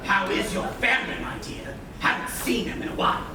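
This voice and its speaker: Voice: medium pitch, fairly quick, natural voice. Sly theatrical pirate villain, acted on stage, distant and echoing. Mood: taunting. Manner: mocking, grandiose, sneering delivery. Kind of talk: live stage play acting. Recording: echoey theatre, distant mics, noisy room.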